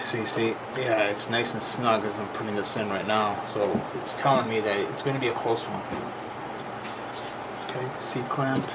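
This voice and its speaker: A man giving a casual, unscripted hands-on repair demonstration, plain-spoken and thinking aloud as he works. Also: medium pitch. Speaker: repair video host. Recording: noisy camera recording with loud background noise.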